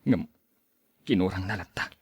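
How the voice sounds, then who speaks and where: Man acting heartbroken, low-voiced young Ilocano man. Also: normal speed, breathy edge, no room sound, clean studio sound, full character voice. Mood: sad resignation. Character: heartbroken young man wronged by his lover, self-pitying. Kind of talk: Ilocano radio drama acting.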